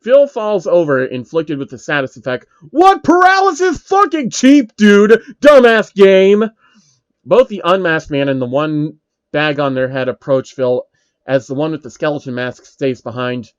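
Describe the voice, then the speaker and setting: A man reading a comedic fan story aloud, breaking off to react loudly and laugh at the text. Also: on the high side, big pitch swings, a little slow, clean tone, dry recording, muffled recording. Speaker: story reader.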